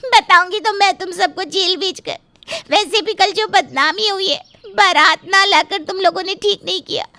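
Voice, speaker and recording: A woman acting a shrill, feisty young mother-to-be. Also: moderate pace, pushed and bright, no room sound, exaggerated voice. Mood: angry, threatening. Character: defiant young unwed mother-to-be, hurt and feisty. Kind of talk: dramatized Hindi moral story dialogue.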